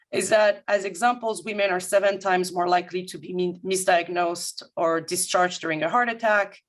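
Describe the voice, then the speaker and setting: A woman from Paris with a French accent, a guest consultant presenting formally and in a measured, articulate way to an audience. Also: mid-range voice. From France, grew up in Paris. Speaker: guest speaker, management consultant. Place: remote video-call talk.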